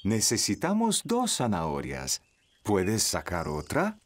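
A man's voice: fairly low pitch, huge pitch swings, slow, slight background noise, exaggerated voice. A man playing a patient, encouraging young father in a children's cartoon dub.

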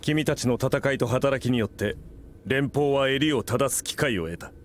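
An actor playing a direct, formal senior federation official in a game cutscene. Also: male voice, medium pitch, moderate pace, slight background noise, natural voice.

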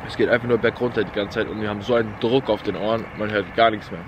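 Junger Mann vloggt locker, laute Umgebung. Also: male voice, on the low side, steady tone, rapid-fire, highs cut off. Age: young adult. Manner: loose slangy chatter, narrating on the move. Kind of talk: casual travel vlog chat. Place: outdoors and in a car on a road trip. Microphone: handheld camera at arm's length. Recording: loud wind and traffic noise outdoors.

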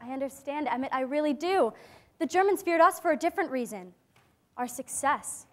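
Earnest hopeful teen girl in a stage play, really high voice, measured. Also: animated. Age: young adult. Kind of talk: staged dramatic reading. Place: theatre stage before a seated audience. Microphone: clip-on lapel mic.

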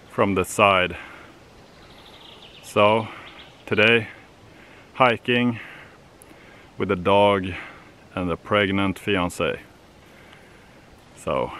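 A man in his thirties talks to a handheld camera at arm's length while he walks a summer forest trail. His voice is low and he speaks slowly, in short, halting phrases. Faint outdoor ambience sits behind him. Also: fairly bright tone, a little hiss or hum. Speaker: outdoor vlogger. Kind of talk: casual vlog to camera.

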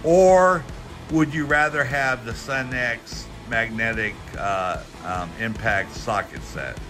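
A middle-aged American man giving a casual, folksy hands-on product review, talking in a halting, rambling way with repeated words. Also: medium pitch, over music. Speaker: tool review channel host. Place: indoors at a workbench.

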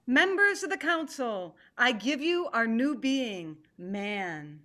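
A woman's voice: fairly high pitch, lively intonation, bright, forceful tone, heavily acted. A middle-aged woman playing a formal, stern tiger who presides over an animal council in a play reading on a video call. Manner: ceremonious, deliberate. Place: living room at home.

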